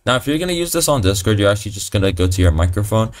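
A young man with a fairly low voice walks through a software tutorial. He keeps it casual and slangy, swearing now and then. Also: sing-song, rapid-fire. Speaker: tutorial presenter. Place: voice-over on a computer screen recording.

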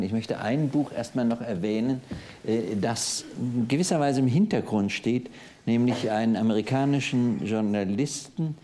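Low, mellow-voiced man in his sixties. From East Germany. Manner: thoughtful, digressive, with frequent hesitations. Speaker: host of a literary discussion. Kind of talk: televised panel discussion. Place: TV studio with a live audience.

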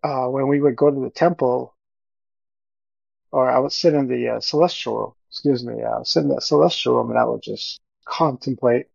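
A middle-aged man with a gravelly, creaky voice gives a personal testimony as a podcast guest. He speaks earnestly and haltingly, circling back over his phrases. The recording is dry and close, with a slightly muffled top end. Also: medium pitch, steady tone, darkish tone, no room sound.

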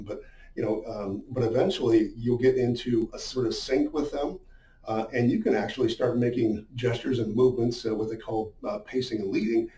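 Man, middle-aged, soft-edged dark tone, informal instructional talk, conversational asides, distant echoey room sound. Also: medium pitch. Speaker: business sales coach. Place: small indoor room with a whiteboard. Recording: roomy, muffled, distant-sounding echo.

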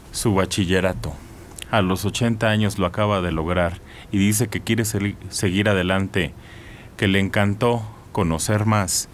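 A man's voice: low voice, a little hiss or hum. A middle-aged man hosting a radio news show, chatting and joking and laughing often, recorded at a desk microphone in a small foam-padded studio. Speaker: radio host.

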